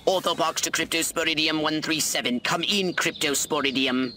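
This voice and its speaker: A man's voice: a little high, moderate pace, background noise, close to an everyday voice. A man playing a haughty, irritable alien scientist commander in a video game, with pompous, theatrical delivery and precise wording. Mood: haughty, commanding. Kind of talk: animated video game voice acting.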